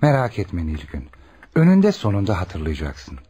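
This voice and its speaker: A man's voice: low-pitched, huge pitch swings, moderate pace, dark tone, slight background noise. An actor playing a kindly, reassuring male doctor in a radio drama, friendly and explanatory.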